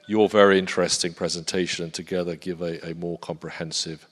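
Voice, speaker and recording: A man in a reverberant hall: Polish speaker, English accent, deep voice, halting panel talk. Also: flat delivery, faint music behind. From Poland. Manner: halting, repeats words while searching for phrasing. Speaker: forum panelist. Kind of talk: conference talk. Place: conference hall.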